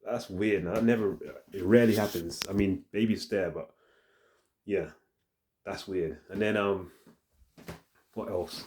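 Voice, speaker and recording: A man with a deep voice talks casually to his phone in a rambling, halting vlog, thinking aloud as he goes. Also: darkish tone, a little hiss or hum. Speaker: fitness vlogger. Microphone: handheld phone.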